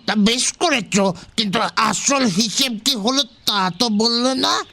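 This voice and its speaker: Casting: cranky old fellow, slow and croaky, childish temper, for a children's audio tale. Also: male voice, very high-pitched, bright, forceful tone, exaggerated voice. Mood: curious, grumbling. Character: grumpy, childish, quick-tempered bald old man. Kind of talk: theatrical children's audio story acting.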